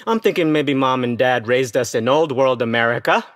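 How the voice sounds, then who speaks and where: An actor in an audio play, playing a brash, rebellious young adult son with a slangy, declamatory manner. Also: male voice, medium pitch, moderate pace, a bit bright, dry recording, clean studio sound, close to an everyday voice. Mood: earnest, scheming.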